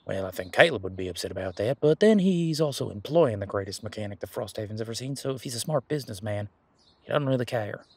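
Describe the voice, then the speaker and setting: A man playing an easygoing, protective werewolf bodyguard in a roleplay audio drama, chatting in casual, halting, folksy phrases. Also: lowish voice, expressive, darkish tone, slightly rough, no room sound. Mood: encouraging, upbeat. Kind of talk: roleplay audio drama, conversational monologue to listener.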